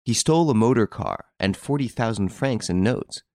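A man voicing an assured, wry gentleman investigator in an audiobook story, speaking theatrically and without hesitation. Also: on the low side, expressive, normal speed, no echo, clean studio sound. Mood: firm, calm.

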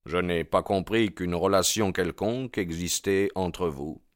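A man voicing a composed, defiant young man in an audiobook, speaking in a quiet, dry manner. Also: low-pitched, unhurried, no echo. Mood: cool. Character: cool, defiant, bitterly self-aware young man.

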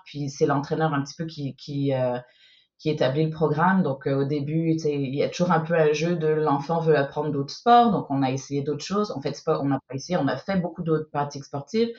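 A woman's voice: sits low, lo-fi sound. A woman in her forties from France whose accent has picked up a Québécois tinge, telling her family story in a chatty way full of fillers. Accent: French with a Québécois tinge. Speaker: guest parent. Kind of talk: casual podcast interview.

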